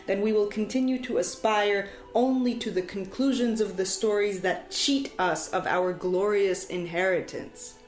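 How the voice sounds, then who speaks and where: A woman storyteller delivering poetic scripted narration in a solemn, deliberate way, with dramatic phrasing and emphatic repetition. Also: mid-range voice. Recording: echoey, reverberant sound with music behind. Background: music.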